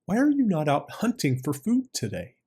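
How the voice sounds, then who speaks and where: A man's voice: on the high side, wildly swooping pitch, moderate pace, dark tone, slightly rough, pitched above the performer's own voice, exaggerated voice. A man voicing a friendly, inquisitive crab in a storybook fable, earnest and full of concerned questions. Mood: concerned questioning.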